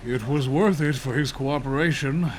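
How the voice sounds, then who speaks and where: A man's voice: mid-range voice, moderate pace, a little hiss or hum, close to an everyday voice. A man playing an authoritative, emphatic police chief in an audio drama. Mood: approving, firm.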